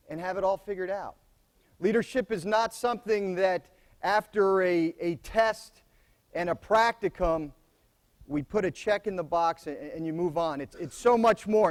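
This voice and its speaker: A middle-aged American man delivers opening remarks to a roomful of students in a soft voice that sits fairly high for a man. He talks plainly and repeats key phrases to drive them home. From United States. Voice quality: soft-spoken. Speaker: commandant giving opening remarks. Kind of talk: conference speech to students.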